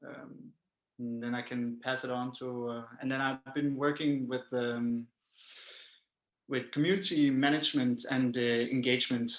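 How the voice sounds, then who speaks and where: A man introducing his work on a panel, halting, with many fillers. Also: mid-range voice, steady tone, muffled recording. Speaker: community management worker. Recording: roomy echo.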